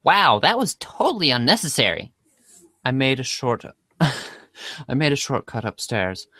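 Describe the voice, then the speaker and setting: A man voicing exasperated, sarcastic young chat friends in an animated amateur reading. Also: medium pitch, no room sound. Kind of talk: amateur readers' theater.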